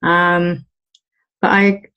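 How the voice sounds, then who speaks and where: A British woman talking casually in an interview as the owner of an olive grove, pausing often with ums and restarts. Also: on the low side, little pitch movement, pushed and bright, smooth, lo-fi sound. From United Kingdom. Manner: hesitant, many ums and restarts.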